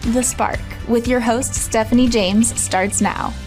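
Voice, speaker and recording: A woman gives a polished, uplifting intro for a show, set clearly over music. Her voice sits a little high for a woman and is delivered smoothly. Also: steady tone, slow pace, a little mellow. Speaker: show announcer. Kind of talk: scripted promo voice-over.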